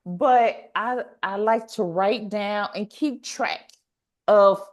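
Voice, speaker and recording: A woman hosting a podcast, chatting casually and motivationally in an animated way, repeating phrases for emphasis and acting out little quotes. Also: mid-range voice, takes it slowly. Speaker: podcast host.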